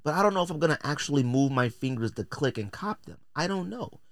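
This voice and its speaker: An American man hosts a casual podcast in a very lively voice that swings up and down. He is blunt and joking, full of slang and theatrical emphasis. The sound is close and dry. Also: a little high, fast talker, dry recording. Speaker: podcast host. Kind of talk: casual opinionated podcast commentary.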